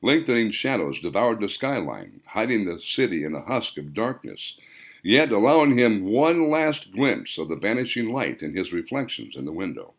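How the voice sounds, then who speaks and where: A man reading an audiobook story aloud in a measured, dramatic storytelling manner. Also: on the low side, sing-song, breathy edge. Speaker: author reading own audiobook. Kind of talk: scripted audiobook narration.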